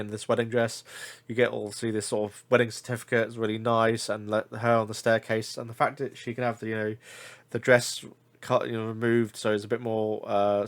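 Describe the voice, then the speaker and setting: A man from the UK with a blocked nose, chatting casually about collectible figure deals, rambling with many fillers and self-corrections. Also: medium pitch. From United Kingdom. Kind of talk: casual screen-share commentary.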